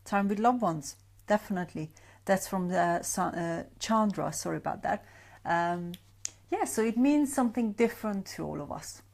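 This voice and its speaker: A woman's voice: mid-range voice, expressive, normal speed, vocal fry, darkish tone. A woman from Hungary, a sleep coach giving a chatty live-stream talk with many fillers and greeting viewers by name. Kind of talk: Facebook Live talk to a group. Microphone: webcam or phone on a live stream.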